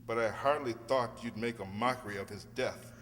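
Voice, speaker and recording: A man's voice: mid-range voice, brisk, fairly bright tone, close to an everyday voice. A man playing a polite, earnest lawyer in an amateur radio drama, courteous and hesitant, baffled and disapproving. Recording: reverberant hall, some noise and hum.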